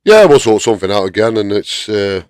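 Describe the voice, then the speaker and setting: Deep-voiced man with a cold. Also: very animated, brisk, a little mellow, clear, resonant tone, dry recording, studio quality. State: has a cold and chest infection. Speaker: guest.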